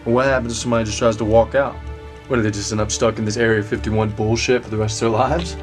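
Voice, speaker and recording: A man's voice: fairly low pitch, little pitch movement, fast, over music, close to an everyday voice. A man playing a defiant, foul-mouthed drug dealer with a short fuse in a screen drama, blunt and streetwise. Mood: wary, questioning. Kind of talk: naturalistic screen drama acting.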